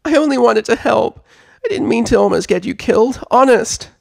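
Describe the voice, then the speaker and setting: A man voicing a sweet, apologetic little filly in a storybook reading, pleading and high-strung. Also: animated, moderate pace, creaky, darkish tone, no room sound, studio quality, heavily acted. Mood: tearful, apologetic.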